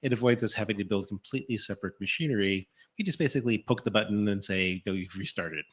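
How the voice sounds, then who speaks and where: A man's voice: fairly low pitch, fast talker, husky. A man giving informal technical comments from the floor of a meeting.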